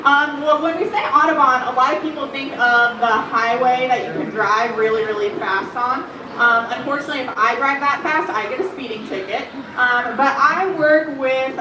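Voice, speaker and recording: Woman educator, high-ish voice, loud and chatty talk to a crowd, heard from far off in an echoey room. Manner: chatty, asks the crowd questions, many ums; says she has a loud voice. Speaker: bird conservation educator. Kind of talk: public talk to a live audience. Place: room at an aquarium. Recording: echoey room, far from the mic, background noise.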